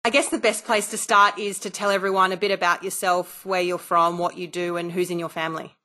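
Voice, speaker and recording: A woman's voice: lowish voice, little pitch movement, a little fast, pushed and bright. Upbeat woman podcast host. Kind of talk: podcast hosting, scripted intro then chat.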